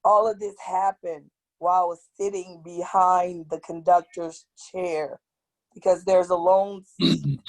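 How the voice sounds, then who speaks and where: A woman with a raspy, hoarse voice telling a personal account on an online panel, halting and pausing often. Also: lowish voice, fairly even intonation, a little mellow, rough recording. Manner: slow, halting, with long pauses. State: hoarse from tear gas. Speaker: activist guest. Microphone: video call.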